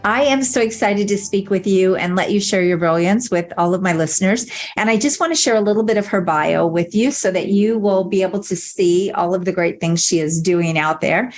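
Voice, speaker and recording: A woman's voice: medium pitch, a little fast, hardly any pauses, faint music behind. A woman hosting a business podcast, upbeat and enthusiastic as she introduces her guest. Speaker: podcast host.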